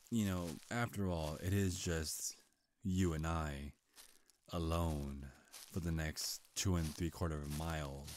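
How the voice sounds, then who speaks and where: Man acting a very deep, slow, flirtatious vampire. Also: a little hiss or hum. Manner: soft-spoken, hesitant, flirtatious, slow and deliberate. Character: charming, sly male vampire, centuries old, courting prey. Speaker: ASMR roleplay performer. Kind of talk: intimate ASMR roleplay acting. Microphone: close, dry microphone.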